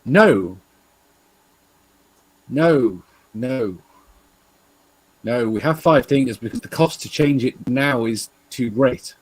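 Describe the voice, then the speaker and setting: A man with an English accent in casual, rambling discussion, halting and repeating himself, swearing freely. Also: mid-range voice, slightly rough, no echo, poor audio. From England. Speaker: talk show participant.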